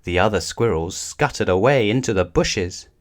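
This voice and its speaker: A man reading a children's story aloud in a measured, expressive storytelling manner. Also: fairly low pitch. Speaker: storybook reader.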